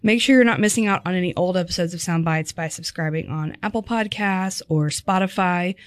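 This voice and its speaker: A woman hosting a dining podcast in casual chat, a little hoarse, chatty and quick to laugh. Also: fairly low pitch, dry recording. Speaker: podcast host.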